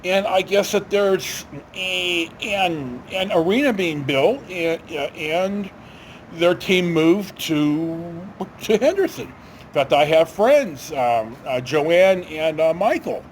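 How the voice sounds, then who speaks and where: Older retired guy, voice a bit on the high side, rambling storytime chat, stops and corrects himself, some steady hum behind him. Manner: halting, rambling, self-correcting. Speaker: video storyteller. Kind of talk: casual rambling talk to camera.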